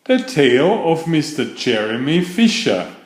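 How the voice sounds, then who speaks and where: A man narrates a children's story at a slow, deliberate pace, his pitch rising and falling with plenty of animation. The voice sits in a medium male register, with audible room echo around it. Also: big pitch swings. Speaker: storyteller reading children's picture books. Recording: roomy echo on the voice.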